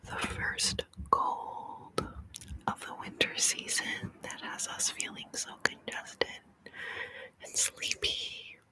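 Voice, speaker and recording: A woman whispering softly in an ASMR video, playful and chatty. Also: slow pace, low-quality recording. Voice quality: whispered, soft breathy delivery. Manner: playful, giggly, chatty asides between repeated trigger words. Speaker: ASMR host. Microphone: close sensitive mic.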